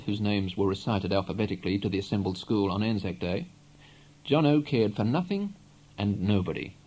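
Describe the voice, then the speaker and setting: Mellow male storyteller reading literary fiction. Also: medium pitch, low-quality recording. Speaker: audiobook reader. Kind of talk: literary narration, read aloud.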